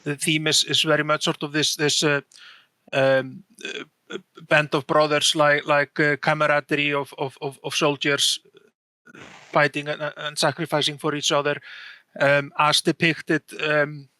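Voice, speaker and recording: A man being interviewed about game design, talking haltingly with many repeated words and restarts. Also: a little high, little pitch movement, sharp tone, no echo. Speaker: game design director guest. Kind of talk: casual podcast interview.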